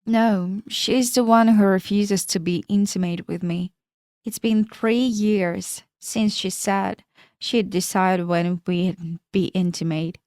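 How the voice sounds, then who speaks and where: A woman's voice: medium pitch, steady tone, slow, darkish tone, no echo, clean studio sound, lightly acted. A woman reading the lines of a polite, well-read married man in a storybook narration, with little change from her own voice. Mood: explaining.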